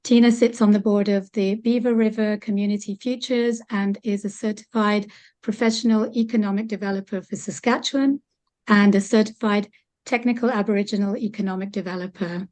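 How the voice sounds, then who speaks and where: A woman opens a webinar over a video call and reads each panelist's introduction. Her delivery is steady and scripted, at a middle pitch. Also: a little fast. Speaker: webinar moderator. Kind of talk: reading speaker introductions.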